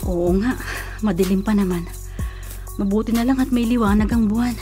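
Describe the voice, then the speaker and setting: An actress playing an anxious, stubborn older sister who is easily spooked, earnest and fretful, in a Filipino radio drama. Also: female voice, medium pitch, moderate pace, warm tone, faint music behind, natural voice.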